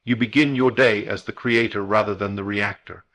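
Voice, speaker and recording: A man delivering a scripted motivational guided meditation in a solemn, deliberate, oratorical manner. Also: on the low side, fairly even intonation, a bit bright.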